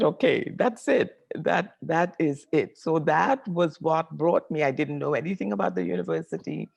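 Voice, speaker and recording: Low, gravelly older woman from Trinidad. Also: darkish tone. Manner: reflective, unhurried, repeats phrases for emphasis. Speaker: professor and writer, interviewee. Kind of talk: conversational storytelling.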